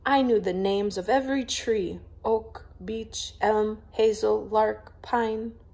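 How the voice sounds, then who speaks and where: A woman's voice: mid-range voice, steady tone, takes it slowly, creaky, a little mellow, voice pushed up. A woman voicing a proud, stiff young lady in a storybook, with a prim, formal, scolding manner. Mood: proud, wistful.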